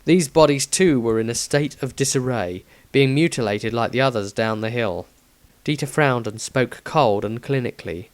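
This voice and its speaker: A man's voice: medium pitch, moderate pace. A man reading his own fantasy story aloud as scripted narration.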